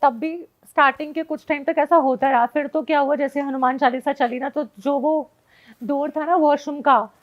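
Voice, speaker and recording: High-pitched woman, casual storyteller. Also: fairly even intonation, normal speed, dull audio. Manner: mixes Hindi with frequent English phrases. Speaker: guest telling a personal ghost story.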